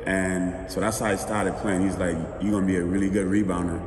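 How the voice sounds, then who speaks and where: A young man tells his story in a low voice, relaxed and rambling. He sounds distant from the mic, and his voice carries a long, roomy reverb. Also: a little fast, noisy background. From Bahamas. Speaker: guest. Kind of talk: casual interview storytelling. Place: outdoors. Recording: echoey, reverberant, from afar.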